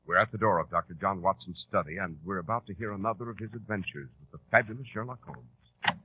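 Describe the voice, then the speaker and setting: A man's voice: sits low, fast talker, very noisy. An American man hosting and announcing in 1940s radio style, polished, emphatic and salesmanlike. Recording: muffled old radio broadcast.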